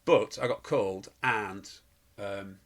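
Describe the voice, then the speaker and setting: A man's voice: lowish voice, slow pace. A middle-aged man, a pantomime performer telling stories in an interview, rambling with fillers and restarts. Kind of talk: casual interview chat.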